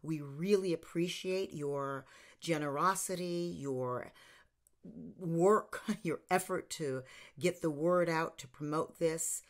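An American woman in her sixties hosting online, giving an informal introduction with measured delivery and emphatic pauses. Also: deep voice. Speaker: theater company host introducing an online show. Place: small home room, indoors.

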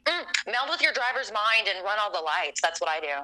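Sharp, flippant young socialite. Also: female voice, mid-range voice, sing-song, a little fast, low-quality recording. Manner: casual, airily dismissive. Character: flippant, breezy supernatural young female best friend. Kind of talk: sketch comedy radio play.

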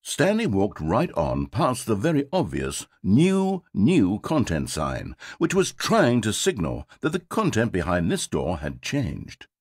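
An actor playing a game's wistful, self-absorbed male narrator in a British accent, with a slightly husky, wry and theatrical delivery. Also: lowish voice, wildly swooping pitch, unhurried, hardly any pauses, no room sound, studio quality, heavily acted. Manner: wry, theatrical, swinging between wistful and sarcastic. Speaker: game narrator. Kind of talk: scripted video game narration.

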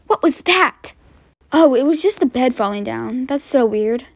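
A woman's voice: high-pitched, animated, unhurried, no echo, full character voice. A woman voicing a brave, eager young schoolgirl in an amateur roleplay drama. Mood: urgent.

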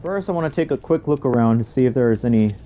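A man's voice: mid-range voice, animated, fast talker, dark tone, slight background noise. A man casually commenting on a horror game as he plays it, thinking aloud in murmured asides. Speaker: gaming channel host. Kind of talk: casual let's play commentary.

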